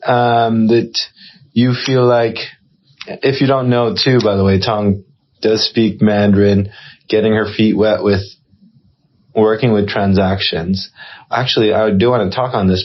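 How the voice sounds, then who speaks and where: A man's voice: lowish voice, dull audio. A man hosting a casual podcast interview, encouraging his guest and summing up what she says. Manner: encouraging, affirming, sums up what he hears. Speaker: podcast host.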